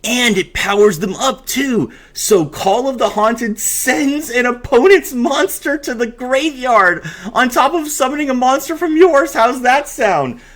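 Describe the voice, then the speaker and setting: A man's voice: very high-pitched, measured pace, few pauses, fairly bright tone. A man hosting a card game video, mixing casual commentary with theatrical, emphatic reading aloud.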